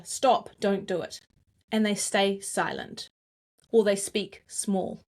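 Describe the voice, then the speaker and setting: Woman with a New Zealand accent, guest coach, measured and crisp articulation. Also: mid-range voice. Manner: deliberate, clearly articulated cadence. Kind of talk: interview answers.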